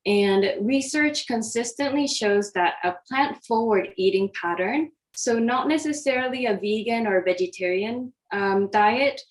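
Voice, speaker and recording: A woman's voice: fairly high pitch, measured pace, hardly any pauses, rough recording. A woman from Japan, an oncology dietitian, giving a friendly, interactive online presentation over a video call, with many fillers and restarts. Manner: friendly, hesitant with frequent fillers.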